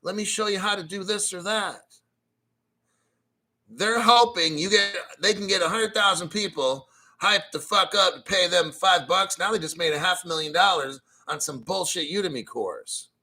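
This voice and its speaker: A man hosting a crypto livestream, ranting bluntly and profanely, clearing his throat often and reading viewer comments aloud. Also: high-pitched, normal speed, sharp tone, poor audio. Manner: reads viewer chat donations aloud. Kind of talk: casual livestream rant.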